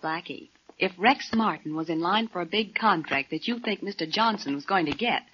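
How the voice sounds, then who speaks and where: An actress playing a loyal, lightly teasing sidekick in a 1940s radio drama, wry and conversational, on an old recording. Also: female voice, fairly low pitch, moderate pace, husky, muffled recording. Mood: teasing, supportive.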